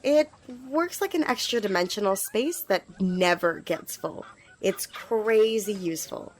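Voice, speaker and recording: A woman's voice: mid-range voice, expressive, measured pace, poor audio. A woman playing an eager, apologetic young squire in an ASMR fantasy roleplay, rambling and stammering as she speaks to the listener. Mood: proud, explaining. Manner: rambling, hesitant, stammering, giggly. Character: eager, flustered young female magic-wielding squire, apologetic.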